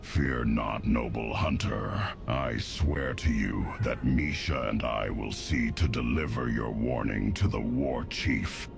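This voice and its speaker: A man playing a stoic, weary half-orc wanderer in a fantasy game cutscene, solemn and weighty in delivery, with a gravelly voice. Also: extremely low pitch, takes it slowly, few pauses, music in the background, heavily acted.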